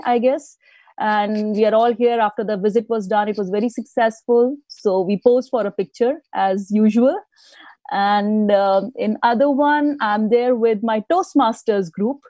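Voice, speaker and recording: A woman's voice: a little high, dry recording. A woman from India, a guest speaker telling stories about her life in a live interview, laughing often. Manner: warm, storytelling, laughs often.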